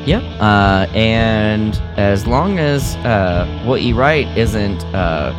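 A man co-hosting a podcast in casual, animated chat, given to comically exaggerated opinions. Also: on the low side, drawn-out words, music in the background. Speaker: podcast co-host. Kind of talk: casual chat about a radio drama.

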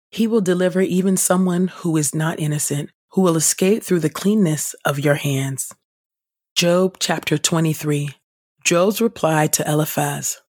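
A woman reading scripture aloud for a devotional podcast in a measured, deliberate, formal way. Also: sits low, darkish tone, slightly rough, no echo, clean studio sound. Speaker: scripture reader and podcast host.

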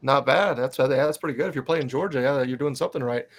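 A man's voice: medium pitch, fast talker. A man hosting a sports fan podcast, chatting in an upbeat, loose way with plenty of fillers. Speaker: podcast host. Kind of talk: casual interview chat.

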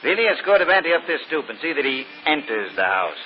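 An actor playing a gruff, impatient, commanding police inspector in a 1930s radio drama. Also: male voice, a little high, big pitch swings, fast talker. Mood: brisk. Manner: brusque, commanding. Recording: muffled, noisy 1930s radio recording.

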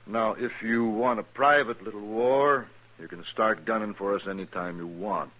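An actor playing a smooth, taunting outlaw gang boss in a 1950s radio western, goading with mock politeness. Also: male voice, fairly low pitch, fairly even intonation, fairly bright tone, lo-fi sound, natural voice. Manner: slow-goading, mock-peaceable. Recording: old radio recording, faint noise.

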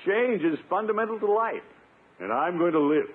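A puffed-up, blustering middle-aged man of small-town importance in a 1950s radio comedy. His husky voice leaps and dips emphatically with self-satisfaction. It comes through a narrow, scratchy old broadcast. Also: high voice, lively intonation, normal speed. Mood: declaiming.

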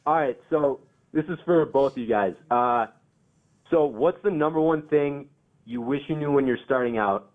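A man's voice: mid-range voice, normal speed. Teen male caller from Minnesota, eager and thankful, tinny phone line. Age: teens. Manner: eager, a bit starstruck.

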